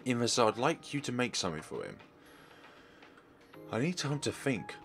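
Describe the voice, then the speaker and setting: A man's voice: medium pitch, very animated, moderate pace, vocal fry, fairly bright tone, soft background music. A man reading a visual novel's text aloud expressively as a let's player, in a formal manner with small stumbles. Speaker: let's play streamer. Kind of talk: dramatic read-aloud of game text.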